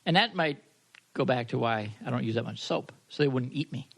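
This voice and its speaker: A man co-hosting a podcast in casual banter, joking in a halting, repetitive way. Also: mid-range voice, lively intonation, vocal fry, fairly bright tone. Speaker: podcast co-host.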